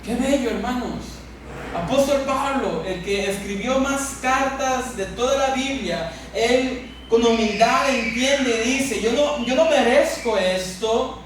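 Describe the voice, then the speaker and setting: A man with a Mexican accent preaching a sermon as a pastor, speaking emphatically with repeated phrases and pauses for effect. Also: very high-pitched. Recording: echoey church hall, distant mic, noisy.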